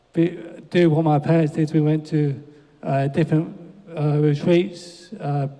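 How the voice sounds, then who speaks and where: A man in his twenties from England, hesitantly telling his life story at church, soft-spoken with many fillers. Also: on the high side. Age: 20s. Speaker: church member interviewed. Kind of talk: hesitant personal testimony. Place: church hall. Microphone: handheld microphone over PA. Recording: hall reverb from church PA.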